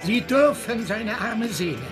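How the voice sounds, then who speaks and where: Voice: mid-range voice, animated, a little slow, close to an everyday voice. An actor playing a sanctimonious, eccentric male preacher in a radio drama, declaiming in a preachy, theatrical way. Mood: righteous, accusing. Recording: music under the voice.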